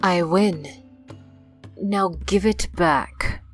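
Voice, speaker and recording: A woman acts a steely, ageless fantasy adventurer with a lowish voice. She speaks very slowly, cool and commanding, with faint music underneath. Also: steady tone. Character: ancient, confident, steely female fantasy adventurer. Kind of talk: acted audio fiction dialogue.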